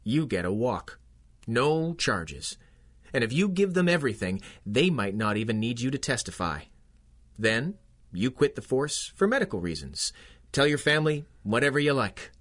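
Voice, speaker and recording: A man acts a young police constable from a 1930s radio serial. He is earnest and resolute, with a medium-pitched voice and a defiant edge. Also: sing-song, normal speed, fairly bright tone. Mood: firm, commanding. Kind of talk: 1930s radio drama acting.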